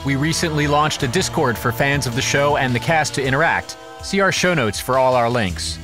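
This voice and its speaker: A man's voice: mid-range voice, lively intonation, moderate pace. Male announcer reading credits over music. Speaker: announcer of an audio drama series. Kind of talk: scripted credits narration.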